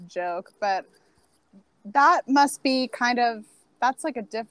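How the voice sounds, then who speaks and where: A woman's voice: fairly high pitch, no echo. A woman hosting a podcast in casual chat, bubbly and peppered with "like". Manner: bubbly, many "like" fillers. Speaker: podcast host.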